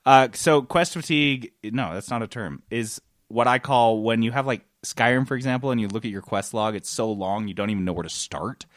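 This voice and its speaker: A man's voice: medium pitch, fairly quick, hardly any pauses, dry recording. Male podcast co-host, enthusiastic and wordy, casual game chat, very dry close sound. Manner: enthusiastic, rambling explainer.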